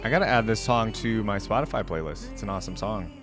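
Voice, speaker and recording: A man with a fairly low voice talks over music as the host of a reaction video. His commentary is casual and informal, sprinkled with slang. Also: quick pace.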